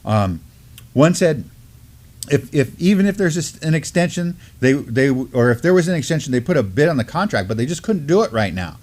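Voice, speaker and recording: A man's voice: a little high, very animated, brisk, a little mellow. A middle-aged man co-hosting a studio news program, reading articles aloud with many fillers and restarts. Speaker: co-host. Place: studio with mottled backdrop.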